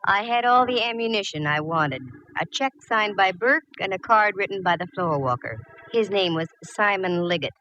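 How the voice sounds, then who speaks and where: A woman's voice: medium pitch, lively intonation, normal speed, few pauses, sharp tone. An American woman playing a wisecracking, confident private eye in a vintage radio detective drama, narrating and giving orders with wry ease. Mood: cool narration. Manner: breezy, wry, commanding. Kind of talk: 1940s-50s radio detective acting and narration. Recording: muffled, noisy old radio recording.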